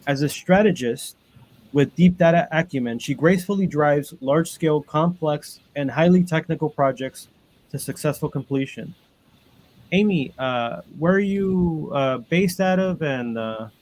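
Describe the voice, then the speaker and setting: A man's voice: on the high side, moderate pace, a little mellow. A man hosting a webinar panel, reading out guest introductions over a video call with frequent ums and uhs. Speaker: panel moderator.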